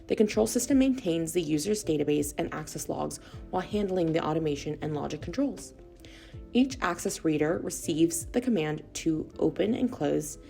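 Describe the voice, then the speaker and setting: A woman reading a scripted corporate explainer in a measured, instructional way. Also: fairly low pitch, creaky, mellow. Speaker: narrator of a corporate product video.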